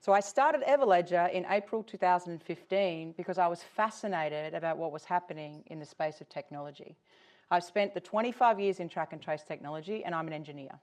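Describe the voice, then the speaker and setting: A woman's voice: deep voice, darkish tone. A middle-aged woman with an Australian accent giving a conference presentation as an invited company executive.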